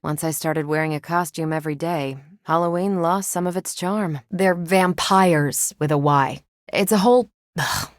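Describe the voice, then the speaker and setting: Actress doing confident superhero lady for a game, bit of a low voice, wry n casual, very dry studio sound. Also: normal speed, dry recording, close to an everyday voice. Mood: awkward, wry. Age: adult. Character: confident, wry adult superhero woman, ex-military pilot. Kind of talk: video game voice acting, conversational dialogue.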